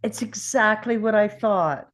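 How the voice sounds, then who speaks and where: A woman's voice: mid-range voice, measured pace. A woman chatting casually as a participant in a script table read, in a giggly manner. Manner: giggly, laughs between remarks. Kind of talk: casual chat.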